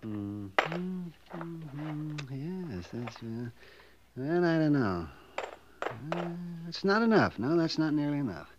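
On a muffled, noisy old radio recording, a miserly frontier storekeeper. His American voice is fairly high and swoops about as he hems and mutters fussily over money. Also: sing-song, slow pace. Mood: gloating, self-absorbed. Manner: muttering, hemming, chuckling to himself. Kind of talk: 1950s radio western acting.

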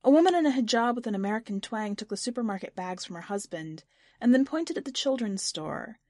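A woman's voice: a little high, animated, a little fast, darkish tone, no room sound, studio quality. A woman reading literary fiction aloud as a scripted audiobook narration. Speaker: podcast editor and story narrator.

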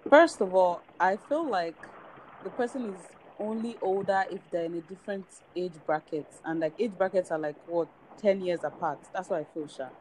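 A woman's voice: on the low side, darkish tone, slight background noise, low-quality recording. A twenty-one-year-old woman, a guest reasoning her view aloud in a casual discussion. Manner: measured, reasoning aloud, lots of "like". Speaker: podcast guest.

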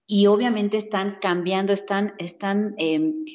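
A woman's voice: mid-range voice, fairly even intonation, unhurried, a little mellow. A woman explaining healthy habits in a casual chat, restarting phrases and adding fillers as she goes. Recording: dull lo-fi audio.

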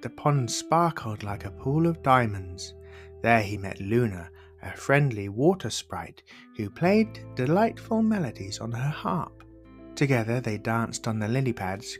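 A man narrating children's bedtime stories from a script as the storyteller. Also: mid-range voice.

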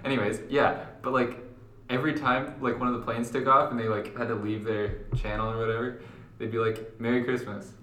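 A man's voice: mid-range voice, fairly even intonation, brisk, fairly bright tone. A young man co-hosting a casual podcast, chatting informally with slangy phrasing. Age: young adult. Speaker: podcast co-host. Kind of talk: casual chat. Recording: echoey room.

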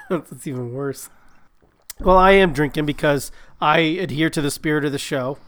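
A man's voice: a little high, unhurried, a bit bright. A man with a stuffy nose from a cold, rambling and joking crudely as a podcast co-host. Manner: rambling, profane, self-mocking. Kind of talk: casual chat.

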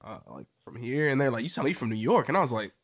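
Floridian dude, bouncy voice all over the place, laughs a ton, slangy. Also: male voice, medium pitch, rapid-fire, creaky. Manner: animated, laughs a lot, slangy. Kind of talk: casual podcast chat.

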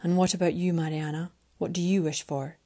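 A woman's voice: fairly low pitch, flat delivery, brisk, mellow. A stern winged ruler, as a woman reads him. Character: winged man, proud cold ruler.

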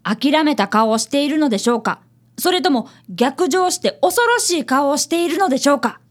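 ラジオドラマで、丁寧な口調の真面目な少年探偵見習いを演じる女性。. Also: female voice, high-pitched, lively intonation, brisk. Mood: earnest narrating. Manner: polite, deferential speech. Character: polite, earnest young boy, apprentice detective. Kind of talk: radio drama acting and narration.

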